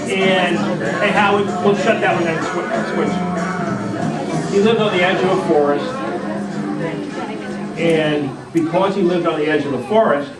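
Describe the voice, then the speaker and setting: High-voiced man presenting to children, echoey room. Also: steady tone, measured pace, long pauses. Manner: repetitive, reassuring, simple explanations. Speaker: puppet show presenter. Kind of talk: introducing a story to children, asking questions. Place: indoor library event room. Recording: echoey room, distant mic, loud music.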